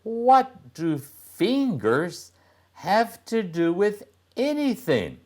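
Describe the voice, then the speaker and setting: A man's voice: sits high, wildly swooping pitch, very slow, a little mellow, voice pushed up, heavily acted. A man voicing a curious, easily annoyed young boy in a children's storybook read-aloud.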